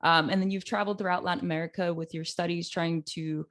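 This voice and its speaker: A woman's voice: lowish voice, steady tone, fast, a bit bright. Chatty upbeat woman podcast host. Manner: chatty, upbeat, laughs often. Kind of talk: casual podcast interview.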